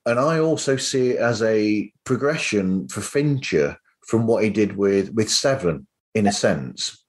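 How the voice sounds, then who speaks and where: A man with a fairly low voice hosts a conversational interview. He thinks aloud in a reflective, rambling way, looping back over his points. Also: animated, measured pace. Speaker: podcast host. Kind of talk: casual interview chat.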